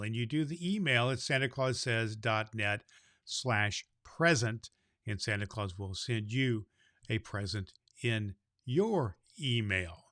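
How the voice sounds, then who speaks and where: A man playing a jolly, big-hearted Santa Claus who hosts a children's holiday story podcast in a folksy, halting, rambling way. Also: medium pitch, pushed and bright, dry recording, studio quality.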